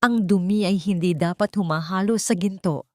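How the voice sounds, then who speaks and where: A woman's voice: mid-range voice, moderate pace, dark tone, dry recording, close to an everyday voice. Cruel elderly matriarch for audiobook drama, played by a female narrator: cold, commanding, scornful, very dry recording. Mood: cold contempt. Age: older. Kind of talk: dramatic audiobook character reading.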